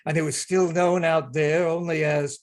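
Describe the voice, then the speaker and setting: Guy introducing an online radio play reading, kinda high voice, announcer role. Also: steady tone, moderate pace. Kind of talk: spoken introduction and scripted narration. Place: online video call reading. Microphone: video call microphone.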